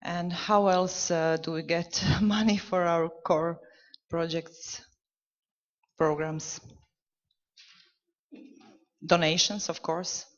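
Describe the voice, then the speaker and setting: A woman's voice: on the low side, level tone, slow pace, long pauses. Hesitant woman panelist in a hall. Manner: hesitant, many fillers. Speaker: panelist from a parents' organization. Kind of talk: conference panel answer. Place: conference hall. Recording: dull, reverberant hall recording.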